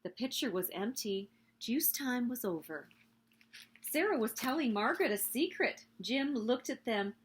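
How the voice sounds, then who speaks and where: Female children's storyteller, high-pitched and very expressive, slightly husky voice, picture-book narration. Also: a little slow. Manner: animated, addressing young children. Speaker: story-time host reading a picture book.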